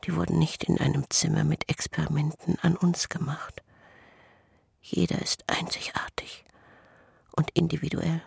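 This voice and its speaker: A haunted twin brother confiding in a radio drama monologue. His voice is badly husked and full of creak, often sinking toward a whisper. He is hushed, halting and doubtful. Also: on the high side, flat delivery, dry recording, studio quality, heavily acted. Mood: hushed, insistent. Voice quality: husky, breathy, creaky.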